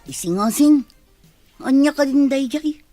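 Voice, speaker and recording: A woman's voice: high voice, animated, unhurried, warm tone, soft background music. A woman playing an anxious, exclaiming confidante in a radio drama. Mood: worried.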